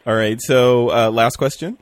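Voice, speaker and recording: A man's voice: medium pitch, normal speed. A man hosting a photography podcast, asking questions and giving short agreeing replies. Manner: brief backchannels, laughs along. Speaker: podcast host interviewer. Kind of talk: casual interview conversation.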